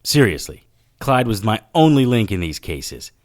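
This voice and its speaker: Hardboiled 1940s detective: low pitch, dry sardonic wit, noir radio-play delivery. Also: male voice, big pitch swings, moderate pace. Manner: wry, sardonic. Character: wisecracking hardboiled 1940s male private eye. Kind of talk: noir radio drama acting with narration.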